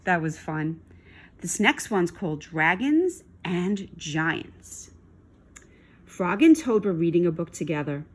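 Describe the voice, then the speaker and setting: A woman reading a storybook aloud to children in an expressive style. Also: on the low side, slow pace, husky.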